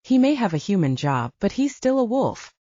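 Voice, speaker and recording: A woman voicing a calm, reasoning male werewolf in an audiobook, measured and placating. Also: medium pitch, no room sound, lo-fi sound. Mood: patient explaining.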